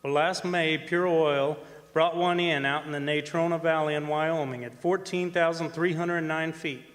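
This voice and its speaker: A man playing a folksy, rambling, middle-aged former oil-field roughneck telling his story in a radio play. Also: fairly high pitch, fairly even intonation, moderate pace, a bit bright, close to an everyday voice. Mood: folksy, chatty. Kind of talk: radio drama monologue to a guest. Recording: hall reverb, music behind.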